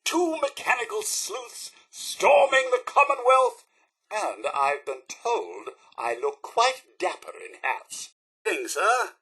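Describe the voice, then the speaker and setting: An actor playing a prim, loyal and fussy robot butler in a video game, with a British accent and pompous, exaggeratedly polite diction. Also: male voice, high-pitched, sing-song, slow pace, sharp tone, slightly rough, full character voice. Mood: wry, cheerful. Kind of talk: theatrical video game character acting.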